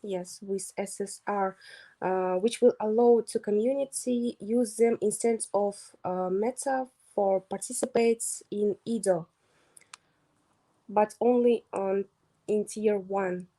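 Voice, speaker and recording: A young woman with a Kazakh accent speaks English haltingly on a video call. Her delivery is slow, full of fillers and restarts, at a middle pitch. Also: fairly even intonation, mellow. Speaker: translator presenting a project. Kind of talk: hesitant demo presentation on a video call.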